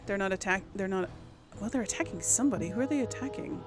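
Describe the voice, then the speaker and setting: Animated woman chatting through a video game. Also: medium pitch, fast. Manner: chatty, talks to herself and to game characters. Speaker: let's play host. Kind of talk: casual live gameplay commentary. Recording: game music under the voice. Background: video game music.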